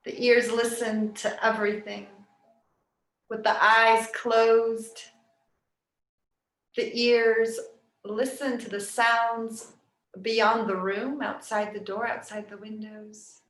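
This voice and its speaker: A woman yoga nidra teacher leading a guided relaxation meditation in a soft, soothing, unhurried way with long pauses. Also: on the high side, little pitch movement. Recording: roomy, echoing sound.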